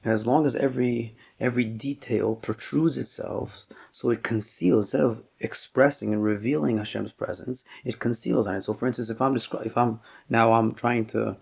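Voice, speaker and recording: A man giving a Torah class as a rabbi, explaining slowly and thoughtfully with frequent pauses and restarts, and mixing Hebrew terms into his talk. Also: mid-range voice, steady tone, gravelly, darkish tone, slightly rough.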